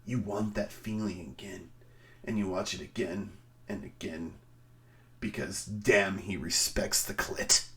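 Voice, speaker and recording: A man giving a dramatic reading on a stream, theatrical in delivery, swinging between emphatic outbursts and hushed, drawn-out phrases. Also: fairly low pitch, far from the mic. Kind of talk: dramatic reading of a written review.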